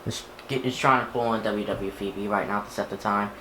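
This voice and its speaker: A man's voice: fairly low pitch, steady tone, a little fast. Man muttering through gadget setup, echoey room. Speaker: hobbyist vlogger unboxing gadgets. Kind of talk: casual unscripted chat while handling a device. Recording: echoey room, clear background noise.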